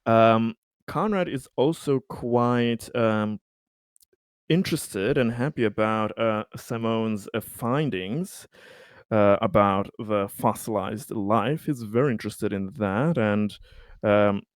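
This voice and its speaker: A man playing a tabletop roleplaying game, casually narrating a character's plans in halting, hesitant phrases with long pauses. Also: on the low side, clean tone, no echo, studio quality.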